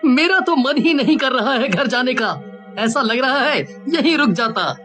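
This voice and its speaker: A woman voicing boastful, excitable townsmen in a cartoon story. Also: high voice, measured pace, faint music behind. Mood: delighted.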